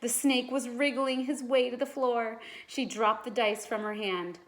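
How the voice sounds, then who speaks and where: A woman's voice: fairly high pitch, steady tone, unhurried, a little hiss or hum. A woman reading a children's storybook aloud like a teacher, expressively and with dramatic emphasis.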